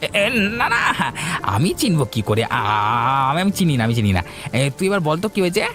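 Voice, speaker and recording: Excitable, shifty cartoon village uncle, quick and animated, repeats words, male performer. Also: medium pitch, faint music behind. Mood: flustered. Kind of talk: cartoon voice acting.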